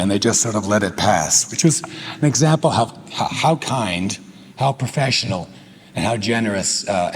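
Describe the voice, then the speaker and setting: Older us guy telling funny stories at a tribute, lots of uhs, echoey big hall. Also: on the low side. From United States. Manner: humorous, self-deprecating, hesitant with many uh fillers. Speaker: cardiologist giving a tribute speech. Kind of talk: anecdotal tribute speech. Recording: long hall reverb, faint noise.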